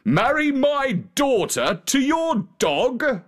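A man voicing a pompous, emotional storybook king who speaks in grand, drawn-out exclamations. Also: very high-pitched, big pitch swings, pitched above the performer's own voice, heavily acted. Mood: indignant. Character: pompous, emotional old-fashioned male king.

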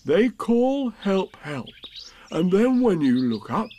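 A placid, not very bright storybook bear, put on by a male reader. He speaks very slowly and deliberately, with a lively rise and fall and a slightly raised pitch. Everything comes out solemn. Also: slight background noise, heavily acted. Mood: placid interest. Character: slow, placid, simple-minded toy bear.

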